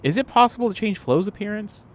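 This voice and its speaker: A man's voice: high-pitched, lively intonation, fast talker, a little hiss or hum. A man chatting casually as a player in a tabletop role-playing game, working out plans. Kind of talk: casual game planning chat.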